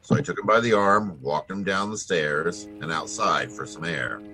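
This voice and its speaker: Acted hard-boiled male detective, deep voice, forceful edge, wry, clipped, radio noir. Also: normal speed, soft background music. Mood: wry, matter-of-fact. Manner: clipped, wisecracking, commanding. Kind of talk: 1940s radio noir acting and narration.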